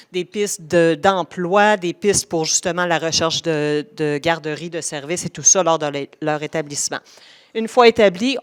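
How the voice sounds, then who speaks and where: A woman's voice: fairly low pitch, smooth. A woman with a Québécois accent, a regional agent giving an enthusiastic, informative presentation that runs through lists with frequent restarts. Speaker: regional youth settlement agent.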